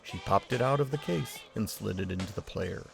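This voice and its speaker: A man reading a story aloud in steady narration. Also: lowish voice, moderate pace, gravelly, over music. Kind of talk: audiobook-style story reading.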